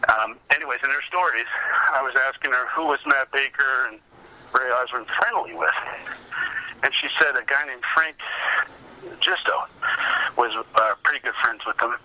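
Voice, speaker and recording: A middle-aged man with a very bright, pressing voice tells casual, anecdotal stories as an interview guest. The sound is dull and lo-fi, like a remote call. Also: medium pitch, normal speed, long pauses. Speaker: interview guest, comic book researcher and collector. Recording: dull lo-fi phone-like call audio.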